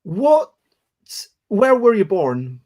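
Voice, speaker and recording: Man, high voice, livestream host, casual interviewing, rambling, self-correcting, encouraging. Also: very animated, extremely slow, warm tone. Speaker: host of a daily livestream chat show.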